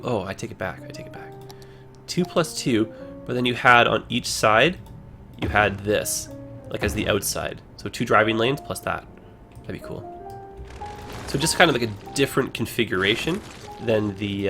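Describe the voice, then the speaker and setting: A man chatting through his livestream in a loose, rambling way, full of ums and uhs. His voice is hoarse and worn down, with a gravelly rasp at the bottom of a middling pitch. Faint music sits under him. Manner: rambling, relaxed, many fillers. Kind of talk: casual gameplay commentary.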